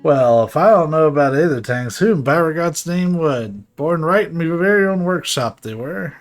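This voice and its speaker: Casting: a man playing a coarse-tongued, chummy workshop tinkerer for a fantasy game, pitched up, informal and exclamatory. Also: expressive, moderate pace, faint music behind, close to an everyday voice. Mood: breezy, chummy. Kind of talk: game dialogue read aloud in character.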